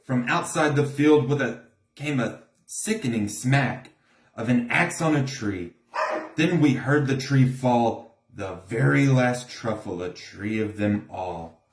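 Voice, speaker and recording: Animated, slow-paced man reading a story. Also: mid-range voice, a little hiss or hum. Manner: theatrical, emphatic. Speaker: storybook reader. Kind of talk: expressive rhyming story read-aloud. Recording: echoey room.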